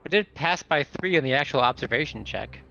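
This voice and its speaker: Man, tabletop player, dull lo-fi recording, bright voice, casual, tentative, thinking out loud. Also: fairly high pitch, animated, a little fast, creaky. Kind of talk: casual game-table talk. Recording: dull, lo-fi voice audio.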